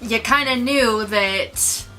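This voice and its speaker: A woman's voice: a little high, slow, sharp tone, soft background music. A woman co-hosting a film review in a casual, chatty style. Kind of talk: casual two-person chat review.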